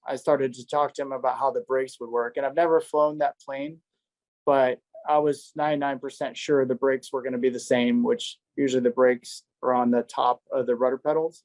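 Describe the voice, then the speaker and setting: A man's voice: medium pitch, a little mellow, no room sound, poor audio. A man who works as an air traffic controller recounts an incident in an informal, conversational way, often quoting dialogue as he retells it. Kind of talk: conversational first-person recounting in an interview.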